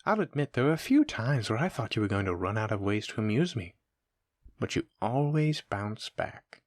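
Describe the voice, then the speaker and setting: A man's voice: lowish voice, very animated, normal speed, no room sound, studio quality. A man playing an ancient, sadistic monster in a horror audio roleplay, musing theatrically and mocking the human pet he addresses. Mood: gloating. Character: taunting sadistic centuries-old male monster keeping a human pet.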